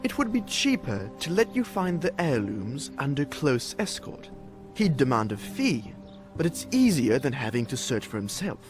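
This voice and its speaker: A man acts out a canny, pragmatic sergeant in a fantasy video game. He talks conversationally with wry, very lively swings of pitch, over background music. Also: normal speed, close to an everyday voice. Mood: scheming, helpful. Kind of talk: video game cutscene acting.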